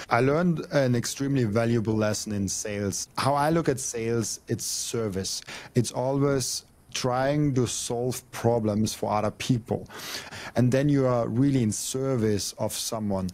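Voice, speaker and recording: A man's voice: mid-range voice, darkish tone. Young guy, earnest podcast guest chat, repeats himself a lot. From Austria. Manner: earnest, repeats words while thinking. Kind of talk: casual interview chat.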